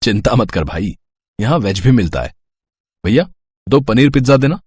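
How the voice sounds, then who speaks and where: A man's voice: mid-range voice, lively intonation, normal speed, a little mellow, slightly rough. A man playing an easygoing, kindly young city student in a story, reassuring and casual. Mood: friendly.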